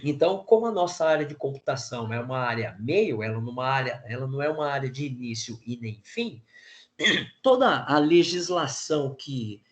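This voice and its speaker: A Brazilian man with a cold-roughened, hoarse voice, teaching an online class in a casual, chatty way. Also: mid-range voice, animated, moderate pace. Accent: Brazilian Portuguese. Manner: casual, frequent filler words and restarts.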